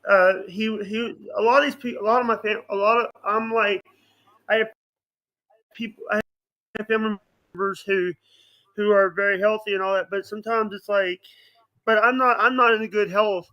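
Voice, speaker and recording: A man in his late twenties chatting as a podcast guest, halting and scattered, often repeating himself. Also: high-pitched, steady tone, bright, forceful tone, dry recording, poor audio. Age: late 20s. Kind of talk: casual podcast chat.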